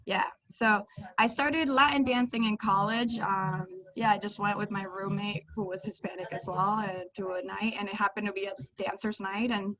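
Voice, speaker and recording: A young woman from Venezuela chatting as a dance guest in a casual podcast interview, quick to laugh. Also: mid-range voice, fairly even intonation, fairly bright tone, low-quality recording.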